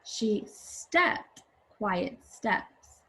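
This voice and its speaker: A woman teacher reading a picture book aloud to young viewers, with deliberate, expressive phrasing. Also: medium pitch, slight background noise. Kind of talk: children's read-aloud, addressing young viewers.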